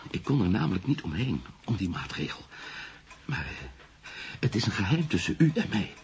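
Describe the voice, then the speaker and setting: A man's voice: fairly low pitch, big pitch swings, moderate pace, slight background noise. An actor playing a small, nervous, secretive alderman in a radio play, polite and fearful, speaking in hesitant, agitated phrases.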